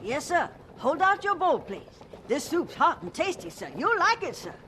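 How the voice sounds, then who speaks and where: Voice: high voice, very animated, moderate pace, pushed and bright, heavily acted. An actor playing a polite, frightened runaway boy of about thirteen in a radio drama, earnest and eager. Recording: distant, echoey, very noisy dramatized scene.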